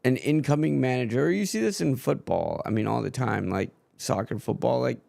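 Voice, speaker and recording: A man's voice: mid-range voice, fairly quick, creaky, a little mellow, dry recording. A man streaming a live football match and ranting frankly about his team's manager, swearing freely in casual chat.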